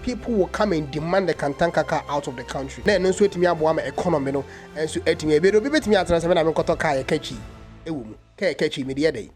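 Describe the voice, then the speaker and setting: A man's voice: on the high side, rapid-fire, a little mellow, soft background music. A Ghanaian man giving impassioned commentary in a vlog, mixing English and Twi. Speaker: vlogger.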